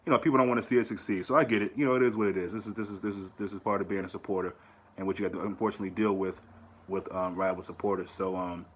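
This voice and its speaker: An American man hosting his own fan channel, talking in a casual, animated way to his viewers, often repeating words and pushing up into rhetorical questions. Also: deep voice, very fast, slight background noise. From United States. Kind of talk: casual solo commentary to camera.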